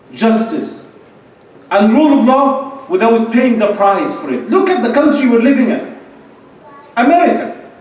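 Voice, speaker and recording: A middle-aged man giving an impassioned, emphatic religious and political speech in short repeated phrases. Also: high voice. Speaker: conference speaker. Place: large indoor lecture hall at a podium. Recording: echoey hall, distant microphone.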